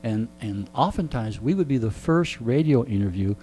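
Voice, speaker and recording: A middle-aged man with a mid-range voice talks like an old hand of radio in an interview. He rambles through casual stories with dry humour. There is clear noise and a hum behind him. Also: mid-range voice, lively intonation, moderate pace, dark tone, poor audio. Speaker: veteran radio show host being interviewed. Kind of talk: casual storytelling interview chat.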